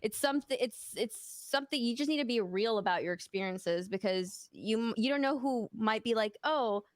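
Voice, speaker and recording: A woman's voice: on the high side, moderate pace, dry recording, clean studio sound. A woman from Mexico, a streamer guest in a casual interview, talking openly and rambling with many fillers.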